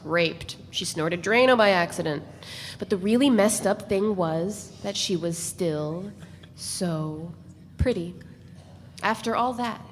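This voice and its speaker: A woman narrating literary fiction in a live dramatic reading, measured and deliberate with dramatic pauses. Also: medium pitch. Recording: reverberant hall, faint noise.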